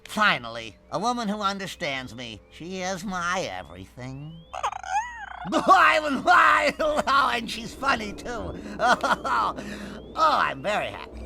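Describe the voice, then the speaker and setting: A man's voice: high-pitched, huge pitch swings, slow, creaky, shouting, full character voice, poor audio. An actor playing a nerdy, eccentric male scientist in a video game cutscene, rambling with sudden squawks. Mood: giddy. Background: game music.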